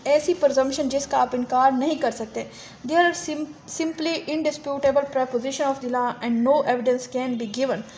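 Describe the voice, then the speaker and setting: Female lecturer, Pakistani accent, high voice, unhurried teaching delivery, faint background noise. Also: darkish tone. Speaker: law lecturer. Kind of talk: lecture, reading English text aloud and explaining it in Urdu.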